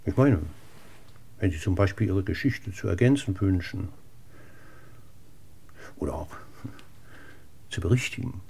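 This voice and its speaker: An actor playing a courteous, measured, persistently probing police inspector in a 1960s German radio play. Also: male voice, sits low, lively intonation, creaky, mellow, exaggerated voice. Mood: courteous, pointed. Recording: noisy 1960s radio recording, clicks.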